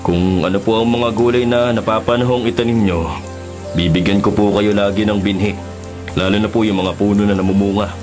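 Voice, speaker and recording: A man playing a stubborn, devoted forest ranger husband, earnest and calmly insistent, in a Filipino radio drama. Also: lowish voice, brisk, over music, close to an everyday voice. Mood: respectful.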